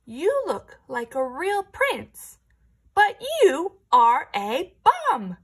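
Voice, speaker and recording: Woman playing a high, emphatic young princess. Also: huge pitch swings, heavily acted. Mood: cool, scornful. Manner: emphatic, drawn-out exclamations. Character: bold, clever young princess, flattering and defiant.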